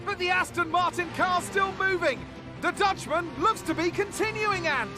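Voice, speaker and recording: A man playing an excited Formula One commentator, calling the action with dramatic, urgent emphasis as on live television. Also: very high voice, normal speed, over music.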